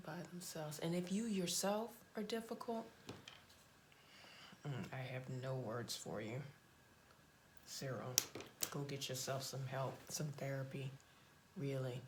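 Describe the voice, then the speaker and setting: A hoarse woman talks casually through a makeup tutorial, her voice low and full of gravelly fry. She is blunt and chatty, stopping and restarting as she goes. Faint hiss sits under the recording. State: hoarse, voice cracking. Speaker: beauty vlogger. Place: home.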